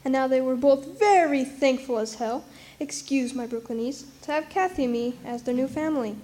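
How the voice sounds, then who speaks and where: A streetwise young orphan voiced by a woman narrates a radio play. The voice is high. The telling is plucky, folksy and wry. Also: moderate pace, dark tone, a little hiss or hum, natural voice. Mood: fond reminiscence. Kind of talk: storytelling narration in radio drama.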